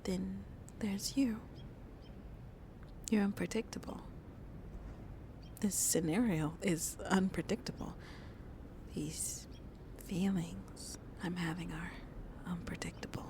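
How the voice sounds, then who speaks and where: A woman playing a shy, lonely vampire in an intimate ASMR roleplay, speaking softly and hesitantly, often half whispering. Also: lowish voice, fairly even intonation, a little hiss or hum. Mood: wistful, vulnerable. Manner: slow, hesitant, halting, soft and breathy. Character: shy, lonely female vampire on a tender first date.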